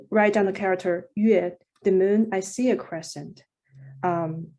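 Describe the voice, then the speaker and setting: A woman's voice: medium pitch, warm tone. A woman, a Mandarin speaker, reading a reflective prepared commentary as a poet on a panel over a video call. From China. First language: Mandarin Chinese.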